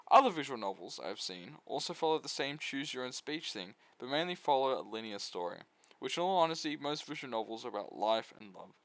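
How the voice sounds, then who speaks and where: Man reviewing games with very animated pitch. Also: fairly quick, dry recording, poor audio. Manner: irreverent, flippant asides. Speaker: video essayist. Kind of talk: casual video essay review of visual novels.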